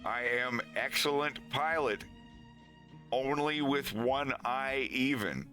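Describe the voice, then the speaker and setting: A man acting a frightened captive pilot who begs to be heard in nervous, halting, broken speech. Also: mid-range voice, sharp tone, faint music behind, pitched above the performer's own voice. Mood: anxious bargaining.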